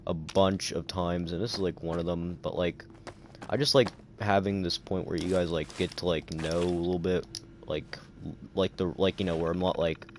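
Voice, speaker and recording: A tired male streamer with a very deep, low voice, rambling along with many fillers and a dry throat. Faint noise sits behind him. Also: steady tone, moderate pace, darkish tone. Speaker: livestreamer. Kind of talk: casual livestream chat.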